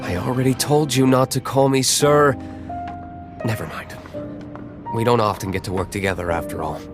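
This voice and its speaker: A man's voice: mid-range voice, fairly even intonation, normal speed, over music, close to an everyday voice. A man playing a brooding, aloof young nobleman vigilante in an anime-style video game, curt and reserved. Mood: cool, dry.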